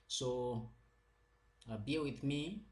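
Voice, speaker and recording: Male lecturer, mid pitch, slow and patient, repetitive with fillers.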